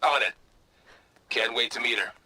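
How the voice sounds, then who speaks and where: A man's voice: medium pitch, moderate pace, sharp tone. A man acting a casual, supportive warrior companion in a video game cutscene. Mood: easygoing.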